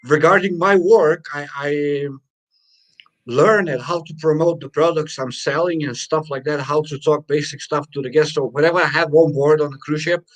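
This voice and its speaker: A man's voice: a little high, fairly even intonation, moderate pace, poor audio. A man co-hosting a casual live stream, chatting loosely and reading viewers' comments aloud with plenty of fillers.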